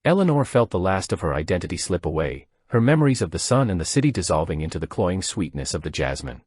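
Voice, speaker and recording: A man narrates a horror tale in a very low, husky voice. His delivery is dramatic and deliberate, with pitch that swings widely from phrase to phrase. The sound is dry and close, with no room around it. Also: big pitch swings, darkish tone, no echo.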